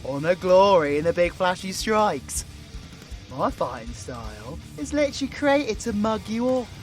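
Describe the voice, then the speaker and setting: A man's voice: sits high, sing-song, measured pace, soft background music. A British man voicing a cocky, crude warlock supervillain in an audio roleplay, full of mocking, sweary, theatrical banter. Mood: smug, mocking. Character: cocky, crude, taunting male warlock supervillain, gleefully playful.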